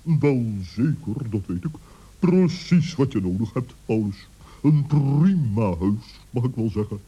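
An actor playing a wise, self-important owl in a children's radio play, speaking in a pompous, deliberate, formal way. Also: male voice, medium pitch, animated, warm tone, husky. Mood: pompous, confident. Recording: dull, muffled old radio recording.

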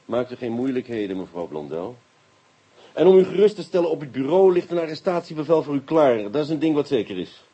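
An actor playing a brisk, wry, commanding police inspector in a radio play, with a Netherlands Dutch accent. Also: male voice, medium pitch, big pitch swings, mellow, slightly rough, rough recording. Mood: smug, insistent. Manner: commanding, dryly sarcastic.

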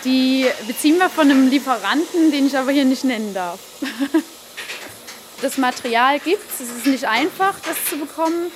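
A woman's voice: high-pitched, moderate pace. A woman casually explaining manufacturing work on a tour of a small production room. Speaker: cassette production worker, interviewee. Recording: hissy background noise of production room. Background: hiss and room noise.

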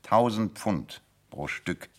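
A deep-voiced, animated master detective. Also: male voice, takes it slowly. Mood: brisk, businesslike. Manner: theatrical, self-assured, amused chuckles between explanations. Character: confident, sharp-witted male detective explaining his deductions. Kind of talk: radio play acting.

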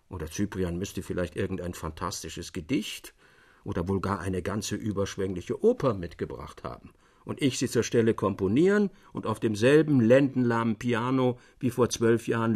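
Radio drama: disenchanted, wistful man of letters, declamatory delivery, rough-edged voice over music. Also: on the low side, darkish tone. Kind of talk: German radio drama, literary monologue.

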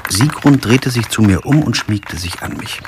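An actor playing a wry, weary lawyer in a radio crime drama, narrating and speaking in a dry, laconic way. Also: male voice, fairly low pitch, expressive, normal speed, noisy background, natural voice. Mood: tense narration. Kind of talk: first-person radio drama narration and dialogue. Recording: music behind the voice.

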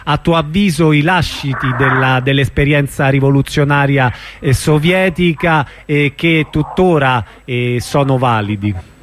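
A man's voice: mid-range voice, measured pace. A man interviewing a guest on the radio with conversational questions. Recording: radio interview with faint noise.

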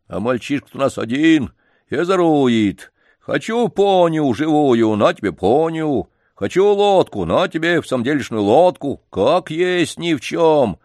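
A man reading an audiobook, voicing a loud, pushy male yard-keeper who keeps coaxing and pleading. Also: on the high side, dry recording, studio quality, voice pushed up. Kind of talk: audiobook character voice.

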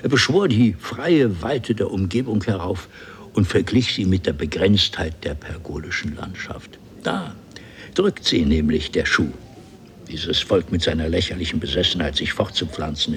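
Haughty, deep-voiced ancient time traveller. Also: male voice, expressive, a bit bright. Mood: lofty, explaining. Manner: theatrical, ornate, deliberate storytelling. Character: haughty ancient time-travelling nobleman, eloquent, condescending storyteller. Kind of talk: 1970s German radio play acting.

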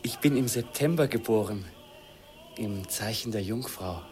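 An actor playing a shy, earnest young student in love, gentle and hesitant, in a 1950s radio play. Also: male voice, on the low side, a little slow, soft background music, lightly acted. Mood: bashful, tender. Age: young adult.

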